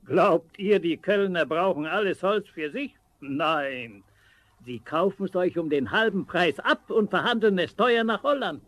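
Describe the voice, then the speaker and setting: An actor playing a knowing teller of an ominous local legend in a storybook radio play, dramatic and emphatic. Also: male voice, sits high, lively intonation, a little slow, a bit bright, heavily acted. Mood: scheming, persuasive.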